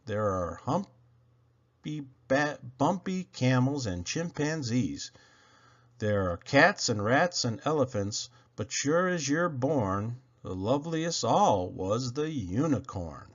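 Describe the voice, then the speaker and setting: Male storyteller for children's verse: unhurried, very lively pitch swings, theatrical phrasing, slightly dull lo-fi recording. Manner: animated, theatrical, drawn-out phrasing. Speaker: children's poetry reader. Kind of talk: expressive read-aloud of children's verse.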